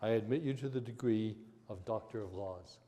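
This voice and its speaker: A man serving as chancellor, briskly making a formal declaration to confer a degree. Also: fairly low pitch. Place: large ceremony hall. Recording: distant voice with long hall reverb, crowd and noise.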